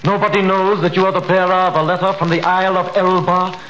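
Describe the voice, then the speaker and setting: Cold prosecutor, measured, authoritative, 1930s radio drama, roomy sound, faint hiss. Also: male voice, high voice, little pitch movement, fairly bright tone. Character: cold, guarded crown prosecutor, grown man.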